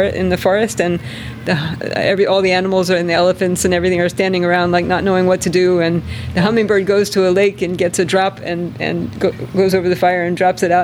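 A man, the author at a book event, talking in a relaxed, thoughtful way. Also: high-pitched, a little hiss or hum. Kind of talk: book talk conversation. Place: bookstore event.

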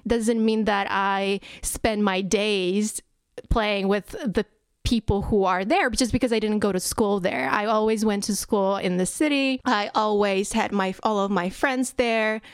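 A woman's voice: medium pitch, steady tone, unhurried, smooth, dry recording, clean studio sound. Chatty Polish-born woman guest. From Poland. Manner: chatty, laughs often, frequent restarts. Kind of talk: conversational interview.

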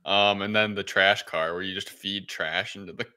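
A man's voice: sits low, fairly even intonation, normal speed, bright, forceful tone. A man co-hosting a podcast, talking casually as he reviews a film. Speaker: podcast co-host.

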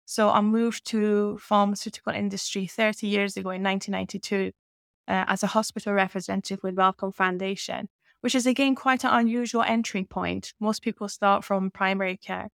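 A woman in her fifties from Iran talks steadily through her career. Her accent mixes Scottish and English, with a smooth, resonant tone and some gravel underneath. The recording is dry and close. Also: mid-range voice, fairly quick, no room sound. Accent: mixed Scottish-English. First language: Persian. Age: 50s. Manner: fluent, steady telling of her career with fillers. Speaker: guest, company founder. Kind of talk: conversational interview.

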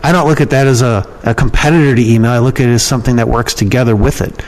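Man, mid-pitched voice, podcast guest, informal, rambling, opinionated, conversational. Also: steady tone, racing, rough recording. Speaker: podcast guest, email marketer and copywriting trainer. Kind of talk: casual interview talk.

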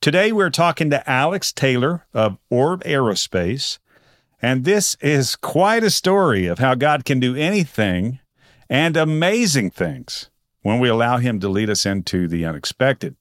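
A man from Texas with an American accent, speaking conversationally in short, deliberate phrases as a podcast host. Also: mid-range voice, big pitch swings, no echo, studio quality. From Texas, United States. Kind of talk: podcast introduction and casual interview.

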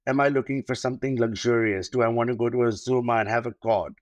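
Man, wedding planner guest, mid pitch, dry close mic, thoughtful, pauses with fillers. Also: fairly even intonation, dry recording, dull audio. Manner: thoughtful, with many fillers and repeated starts. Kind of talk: podcast interview.

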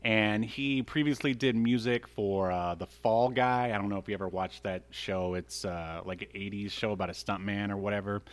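A man's voice: fairly low pitch, fairly even intonation, normal speed, few pauses, clean tone. A man co-hosting a podcast, chatting casually in a joking, teasing way as he recaps things. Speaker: podcast co-host.

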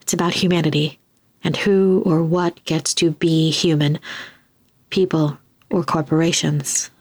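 A woman's voice: deep voice, fairly even intonation, a little slow, a little mellow, no echo. A woman reading scripted narration as a podcast announcer.

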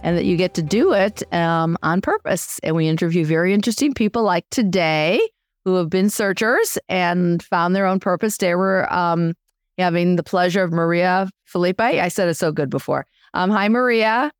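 A woman hosts a podcast in a chatty, encouraging way and wanders into side stories. Her voice is smooth and clear at a middle pitch. It is recorded close and dry. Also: moderate pace, no room sound. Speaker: podcast host. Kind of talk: casual interview chat.

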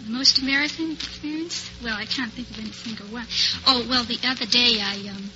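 American woman, strikingly bright high voice, giggly, hesitant, contestant interview, muffled old radio recording. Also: a little fast, soft background music. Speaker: contestant, telephone operator. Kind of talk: unscripted quiz show interview.

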